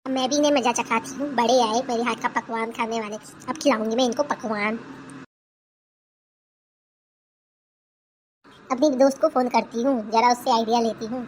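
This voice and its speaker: A woman's voice: on the high side, fairly even intonation, fast talker, few pauses, noisy background, lightly acted. A woman voicing a defiant, complaining young daughter-in-law in a comedy cartoon. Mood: scheming. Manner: indignant, complaining, talks back. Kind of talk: Hindi cartoon family comedy dubbing.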